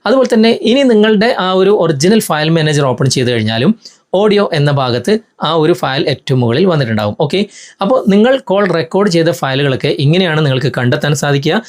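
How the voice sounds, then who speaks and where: A man's voice: fairly high pitch, expressive, fast talker. A man with a Malayali accent hosting a tech tutorial, explaining phone steps in an instructional, step-by-step way straight to viewers.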